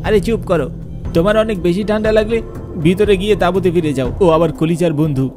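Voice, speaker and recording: Here is a man playing a hot-tempered young friend in an animated horror story, snapping and scolding in quick bursts. The voice is pushed well above a plain speaking pitch and sharp. Loud music runs behind. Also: lightly acted. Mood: angry. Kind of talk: cartoon voice acting.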